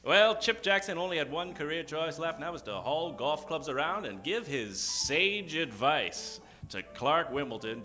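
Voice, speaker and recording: Theatrical radio host, bright, swooping male voice. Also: mid-range voice. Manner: showman-like, grandiose, dramatic pauses. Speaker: host and announcer of a live improvised radio show. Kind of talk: old-time radio announcing, theatrical. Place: theater stage, before an audience. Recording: live stage show with music behind. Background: music.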